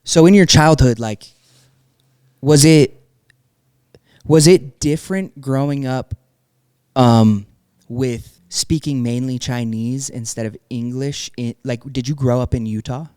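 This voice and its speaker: A man's voice: medium pitch, slow, darkish tone, smooth, no echo, studio quality. A man hosting a podcast, asking casual, slangy questions with some "um" fillers. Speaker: podcast host. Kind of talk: casual interview chat. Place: podcast studio. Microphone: podcast microphone.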